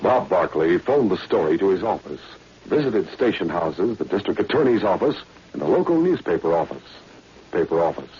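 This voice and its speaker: A man's voice: low-pitched, sing-song, normal speed. A man narrating a scripted 1940s radio adventure with dramatic storytelling. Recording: dull, faintly noisy vintage radio recording.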